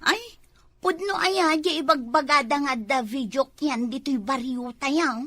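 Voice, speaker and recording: A woman's voice: high-pitched, unhurried, pushed and bright, no echo. A woman with an Ilocano accent playing a bossy, nagging village wife in an amateur comedy drama. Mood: gossipy, nagging. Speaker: actor in comedy skit.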